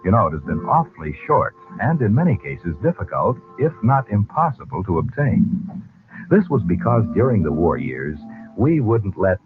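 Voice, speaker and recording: A man's voice: fairly low pitch, big pitch swings, moderate pace. A man working as a 1940s radio announcer, reading scripted copy with polished, theatrical salesmanship. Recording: tinny old radio broadcast with music. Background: music.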